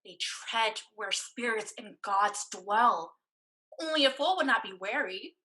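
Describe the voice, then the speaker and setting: A woman's voice: medium pitch, animated, unhurried, pushed and bright, low-quality recording. A woman acting in a stage play reading as a devoted, cautious sister who blames herself. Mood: wary. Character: anxious, devoted, limping sister, cautious and self-blaming.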